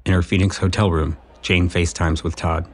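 A man's voice: low-pitched, little pitch movement, brisk. A man reading scripted narration for a romantic comedy audio drama.

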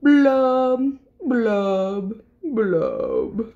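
A woman's voice: on the high side, very animated, very slow, mellow, lo-fi sound, heavily acted. A woman voicing a glum, gloomy male fish from a children's storybook, sulky and resigned, in a mopey sing-song. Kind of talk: children's picture-book character voice.

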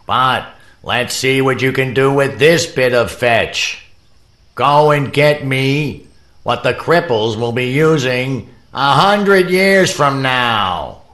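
A crotchety male veteran from an audio theater comedy. He draws his words out very slowly in a sharp, gruff, sneering voice. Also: mid-range voice, expressive. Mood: gleeful, challenging. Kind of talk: audio theater character acting.

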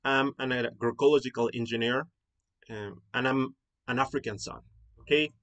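A man's voice: mid-range voice, steady tone, normal speed, sharp tone. Man, mexican spanish accent, live online talk, earnest, lots of fillers and restarts. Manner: earnest, repetitive, with many fillers and rephrasings. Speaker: founder and host of an online academy. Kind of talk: live-streamed presentation.

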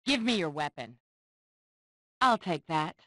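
An actress voicing a haughty, impatient superheroine in short video game combat lines, imperious and scornful with clipped delivery. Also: female voice, lowish voice, expressive, slow, sharp tone, no room sound, studio quality, full character voice. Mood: cool, scornful.

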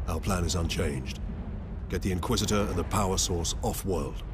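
Man as a lowish-voiced, commanding marine captain. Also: heavy background noise, close to an everyday voice. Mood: resolute. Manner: measured, commanding. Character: calm, resolute male space marine captain. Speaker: voice actor in a video game. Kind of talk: dramatic game acting. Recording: game music and battle sounds behind.

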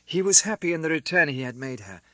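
A man narrating a classic novel as an audiobook, with a theatrical, expressive delivery. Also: fairly high pitch, fast, no echo.

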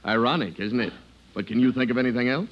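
An actor playing a teasing, wry lawyer friend in a 1950s radio comedy. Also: male voice, mid-range voice, quick pace, natural voice. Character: amused, teasing lawyer pal of the father. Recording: dull old radio recording.

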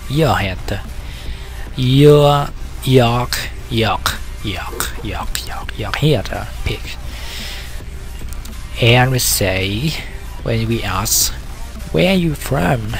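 Male teacher, very slow and halting, low gravelly voice, faint music underneath. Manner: slow, halting, many repetitions and fillers. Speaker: language teacher. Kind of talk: screen-recorded language lesson.

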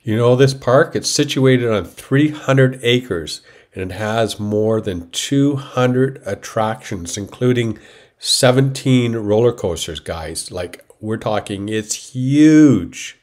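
A man's voice: medium pitch, animated, takes it slowly, a little mellow. A man in his fifties from Canada, slightly stuffed up from a cold, chatting to viewers in a travel vlog voiceover. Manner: chatty, addresses viewers as "guys". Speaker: vlog host.

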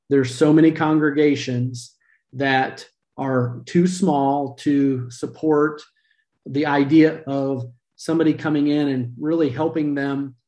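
A man's voice: medium pitch, a little mellow. Earnest middle-aged man host. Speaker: podcast host. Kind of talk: casual video-call interview. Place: home room, indoors. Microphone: video call webcam.

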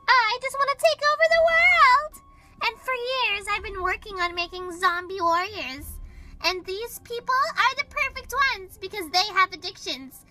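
Woman voicing a very high-pitched gloating megalomaniac cartoon villain. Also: normal speed, pushed and bright, soft background music, heavily acted. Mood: grandiose. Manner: gloating, grandiose, theatrical. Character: megalomaniac villain with zombie army plan, gleeful and commanding.

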